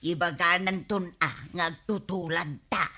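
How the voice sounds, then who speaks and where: A woman's voice: on the low side, a little slow, pushed and bright. A woman with an Ilocano accent playing a vain, boastful, giggly village wife in a radio comedy drama. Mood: vain, excited.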